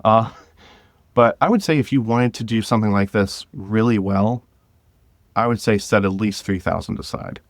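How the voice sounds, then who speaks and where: A man's voice: on the low side, normal speed, dry recording, clean studio sound. A man talking casually as an interview guest, thinking aloud and correcting himself as he goes. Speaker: guest, independent audio drama creator.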